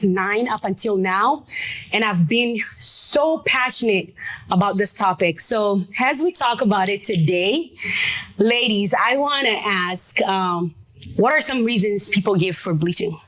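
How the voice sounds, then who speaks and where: A woman's voice: medium pitch, a bit bright. Chatty woman hosting a talk show. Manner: chatty, direct, with quick asides. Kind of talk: casual talk show discussion.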